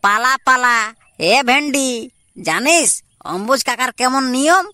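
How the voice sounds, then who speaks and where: A woman's voice: high-pitched, expressive, unhurried, pushed and bright, no echo, clean studio sound, heavily acted. A woman voicing a cautious, cynical young village lad with a rural Purulia Bengali accent in an amateur comic cartoon.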